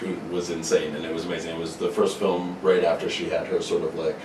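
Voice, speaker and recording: A man chatting casually among friends as a performer, jokey and quick to laugh. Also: low-pitched, level tone, far from the mic. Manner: jokey, laughs easily, repeats himself. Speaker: voice actor in a script-reading show. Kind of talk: casual group banter. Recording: roomy, off-mic, noticeable background noise.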